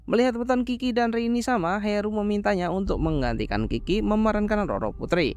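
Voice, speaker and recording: A man's voice: high-pitched, a little fast, a little mellow, clean tone. A man narrating a horror film plot as a scripted story recap, explaining as he goes in informal wording.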